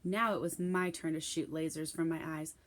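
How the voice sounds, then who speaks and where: A woman giving an expressive scripted reading of a children's novel as narrator. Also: low voice, normal speed. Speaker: storybook reader.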